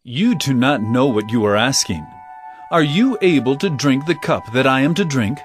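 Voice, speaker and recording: A man playing an authoritative teacher in a Bible audio drama, speaking in a measured, solemn, instructive way. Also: medium pitch, animated, soft background music, close to an everyday voice. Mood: grave, gentle. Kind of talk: audio drama scripture storytelling.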